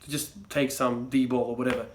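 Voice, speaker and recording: A man's voice: mid-range voice, flat delivery, normal speed, slight background noise. Rambling young man talking to camera. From Croatia. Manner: rambling, many fillers and restarts. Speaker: fitness vlogger. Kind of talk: casual monologue to camera.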